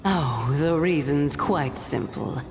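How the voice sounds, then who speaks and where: A mysterious, gentle titan woman for a video game. She speaks slowly and formally in a lowish voice, wistful and knowing, with long reverb around her words. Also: expressive, exaggerated voice. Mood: composed, knowing. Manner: measured, formal, theatrical. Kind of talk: scripted video game voice acting. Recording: long reverb, music behind. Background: game music.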